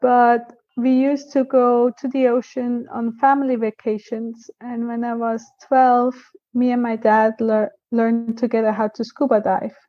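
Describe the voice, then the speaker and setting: A woman raised in Switzerland talks earnestly as a guest scientist, recounting her path with small restarts. Her voice sits fairly high but stays level and even in pitch. The sound is dull and lo-fi, like a remote call. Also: measured pace, no room sound. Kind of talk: casual interview answers.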